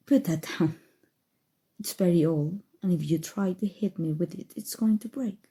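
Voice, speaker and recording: A woman voicing a smug, teasing, coolly menacing evil sorcerer in an ASMR roleplay, speaking softly and deliberately with breathy drifts into whispering. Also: fairly low pitch, exaggerated voice. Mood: calm threatening. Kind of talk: ASMR roleplay, scripted character monologue.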